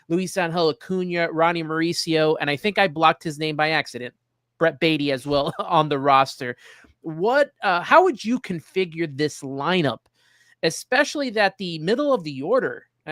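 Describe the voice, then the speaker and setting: A man's voice: a little high, fairly bright tone, no echo, studio quality. A man hosting a sports show, presenting casually and informally in short hesitant segments. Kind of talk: casual presenting, reading through a list.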